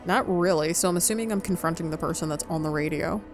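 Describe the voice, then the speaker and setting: A woman's voice: on the low side, fast talker, music in the background. A woman playing a visual novel and reading its lines aloud dramatically, as in a playthrough. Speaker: game player reading aloud.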